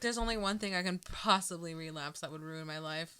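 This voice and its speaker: A woman's voice: low-pitched, a little fast, pushed and bright, clean tone. A woman bantering casually on a podcast, blunt, slangy and teasing, with some swearing.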